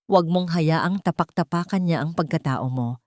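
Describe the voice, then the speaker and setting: A woman's voice: sits low, normal speed, darkish tone, no echo, lightly acted. Loyal, indignant Filipina best friend, emphatic and urging, storybook drama, dry sound, female narrator acting. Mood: firm, urging.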